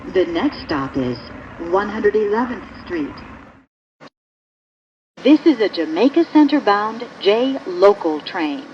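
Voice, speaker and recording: A woman reading formal, scripted subway announcements in deliberate phrases over a train's public-address speaker. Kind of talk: recorded transit announcements, scripted. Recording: dull, noisy recording inside moving train. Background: rumbling train noise.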